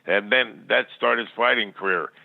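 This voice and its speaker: Old guy guest on a phone line, lowish voice, rambles and stammers thru his memories. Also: moderate pace, gravelly, dry recording. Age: older. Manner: rambling, plainspoken, stammering repetitions. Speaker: podcast guest. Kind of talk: reminiscing in interview. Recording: tinny, band-limited phone line.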